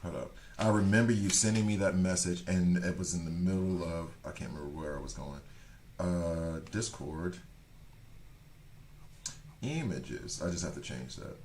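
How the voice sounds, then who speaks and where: A man's voice: low voice, normal speed, a little mellow, slight background noise. A man streaming a game, chatting casually with viewers in a rambling, talkative way and reading chat aloud. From Switzerland. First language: not German. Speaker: gaming streamer.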